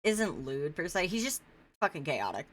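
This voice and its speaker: A woman's voice: low voice, very animated, normal speed, fairly bright tone. A woman co-hosting a casual podcast, mostly giving short agreeing replies and a few blunt remarks. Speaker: podcast co-host.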